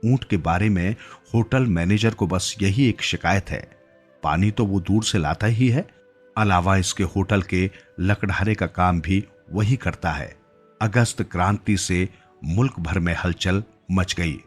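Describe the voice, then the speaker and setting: A man's voice: low voice, a little slow, soft background music. A man narrating a scripted literary story as a storyteller.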